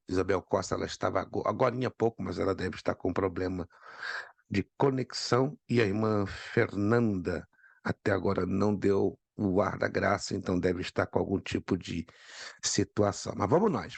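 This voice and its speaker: A man, hoarse from a cold, teaching an online Bible class and calling on students by name. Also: low voice, no echo. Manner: repeats key points for emphasis.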